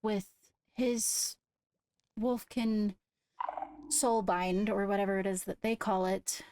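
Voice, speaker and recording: A woman playing a guarded young royal in an improvised Minecraft roleplay, speaking in a measured, deliberate way as she reasons aloud. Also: mid-range voice, steady tone, dark tone, natural voice. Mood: serious, deliberating.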